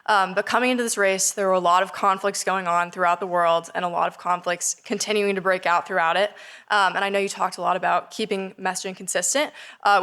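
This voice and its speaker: A woman's voice: mid-range voice, fairly bright tone. A woman in her twenties from Singapore, a university student putting a prepared question to a guest speaker. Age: 20s.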